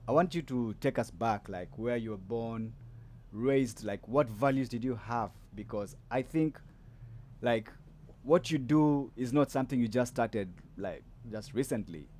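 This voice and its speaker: A man's voice: medium pitch, a little slow, a little mellow. A man hosting a podcast, chatting casually with a guest, loose and rambling with lots of fillers and restarts. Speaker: podcast host. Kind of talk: casual interview chat.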